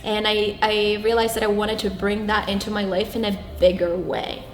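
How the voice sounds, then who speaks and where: A young woman from Brazil, whose first language is Portuguese, talks through her path in an interview, earnest and halting with many restarts. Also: mid-range voice, little pitch movement, echoey room, in a large hall, rough recording. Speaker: guest. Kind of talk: reflective interview talk.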